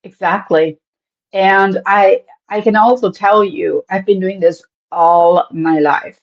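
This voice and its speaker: A woman from Germany with a German accent, speaking as an animated podcast guest who laughs often and lets her pitch swing widely. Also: slow, muffled recording. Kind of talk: casual interview talk.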